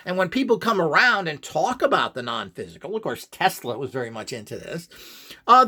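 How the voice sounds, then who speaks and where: Male instructor with a slightly high, highly animated voice; dismissive, ranting delivery. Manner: scornful, ranting, full of rhetorical questions. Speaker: radionics instructor. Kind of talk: opinionated instructional monologue.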